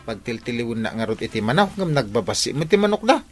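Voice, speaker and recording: Lively, fussy errand helper. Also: male voice, medium pitch, fast, soft background music. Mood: protesting. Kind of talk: Ilocano comedy radio drama acting.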